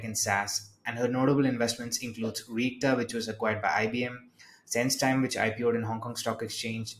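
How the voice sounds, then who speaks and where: A man's voice: mid-range voice, echoey room, a little hiss or hum. A man hosting an investment interview show, reading a formal guest introduction and then asking questions in a friendly way. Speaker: podcast host.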